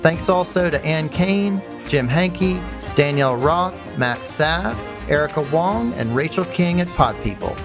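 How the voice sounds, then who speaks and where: A man hosting a podcast, asking questions politely and reading the show's credits at a steady pace. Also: on the high side, measured pace, hardly any pauses, over music. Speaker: podcast host and magazine editor. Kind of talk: interview and scripted outro.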